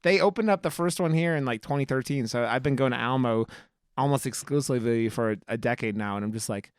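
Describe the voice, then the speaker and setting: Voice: medium pitch, quick pace, clear, resonant tone, no echo, studio quality. A podcast host chatting casually, with teasing, profane joking. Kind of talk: casual banter on a film review podcast.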